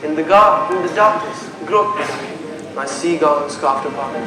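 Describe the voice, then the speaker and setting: A man's voice: on the high side, a little slow, noisy background, close to an everyday voice. A young man playing a brooding, passionate prince in a school stage Shakespeare play, with theatrical declamation. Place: indoor stage in a hall. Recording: very echoey hall, loud music behind.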